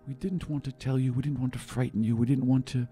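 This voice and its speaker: A man voicing a gentle, sorrowful father in a tabletop roleplay, speaking tenderly, solemnly and haltingly. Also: medium pitch, fairly even intonation, soft background music, close to an everyday voice. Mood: hesitant, tender. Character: gentle, sorrowful elven father revealing a painful secret.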